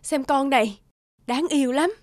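A woman voicing a devoted, defiant young village mother in an animated folktale. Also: sits high, normal speed, no room sound, clean studio sound, natural voice. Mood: tender.

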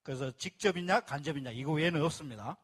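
A man's voice: a little high, animated, fast talker, breathy edge. A man presenting a membership plan to a live audience, explaining step by step and repeating key phrases. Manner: speaks to a live audience and asks for applause.

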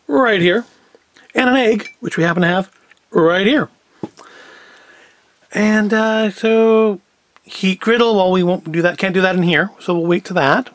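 A man's voice: high-pitched, no echo. A man giving a casual, unscripted product review as host, with wry, self-deprecating asides. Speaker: host of a food review show. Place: indoor tabletop set. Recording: clear background noise, packaging crinkles. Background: clicks and crinkling packaging.

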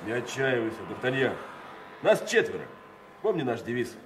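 An actor playing a steadfast, reassuring musketeer comrade in a radio drama. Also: male voice, medium pitch, very animated, normal speed, a little hiss or hum, natural voice. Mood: rallying.

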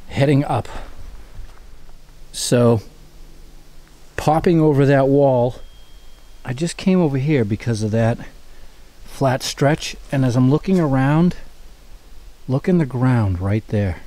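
A man's voice: mid-range voice, animated, takes it slowly, long pauses, mellow. Curious man musing on a forest hike. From New England. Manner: musing, curious, thinking aloud as he walks. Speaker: hiking vlogger. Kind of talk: casual walking narration to camera. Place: outdoors in forest on a mountain. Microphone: body-worn action camera.

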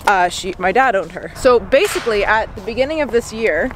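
A woman's voice: mid-range voice, animated, fairly quick, background noise. A woman in her twenties with a Canadian accent, chatting casually to camera with loose, hesitant phrasing. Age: 20s. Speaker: vlogger and horse rider. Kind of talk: casual vlog chat to camera. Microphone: camera held at arm's length or set on dashboard.

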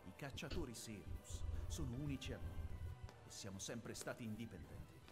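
Game role: haughty, defiant young male leader, formal and firm, lively intonation under prominent score. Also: lowish voice, a little fast. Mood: proud defiance. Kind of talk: dramatic video game cutscene acting. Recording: game cutscene with loud music behind.

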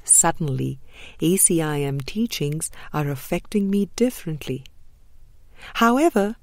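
A woman reading a spiritual book as an audiobook narrator, measured and deliberate, with frequent pauses. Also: low-pitched, expressive, no room sound. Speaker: audiobook reader.

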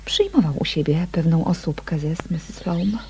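An actress playing a gossipy neighbour in a radio mystery, confiding and often hushed while feigning discretion. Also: female voice, sits low, moderate pace, slight background noise. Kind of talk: radio mystery drama acting.

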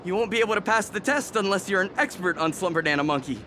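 A man's voice: sits high, expressive, fast, background noise. A man playing an officious, condescending young fan club gatekeeper in a video game. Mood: smug. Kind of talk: video game story acting.

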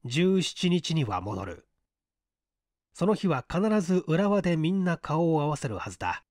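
A man reading a literary story as dramatic narration in a measured, deliberate way. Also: on the high side, big pitch swings, darkish tone, no room sound, clean studio sound. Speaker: story reader.

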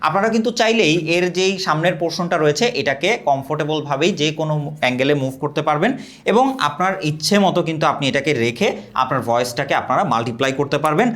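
A young man from Bangladesh giving an energetic, scripted product review and sales pitch. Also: quick pace.

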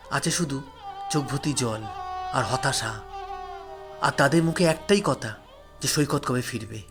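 A man narrating a horror story in the first person, solemn, dramatic and emotive. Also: mid-range voice.

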